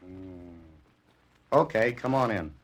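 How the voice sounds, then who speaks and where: A man playing a gruff, bossy doorman who gives clipped orders in a 1940s-style radio drama. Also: fairly low pitch, slow pace. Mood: brusque. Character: gruff, curt male doorman minding a flophouse. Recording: noisy old radio broadcast, faint hiss.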